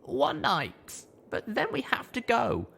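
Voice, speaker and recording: A man's voice: extremely high pitch, huge pitch swings, takes it slowly, vocal fry, fairly bright tone, breathy edge, pitched above the performer's own voice, exaggerated voice. A man voicing a weary, stubborn young mare pony heroine, earnest and strained, in a story reading. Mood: weary, insistent.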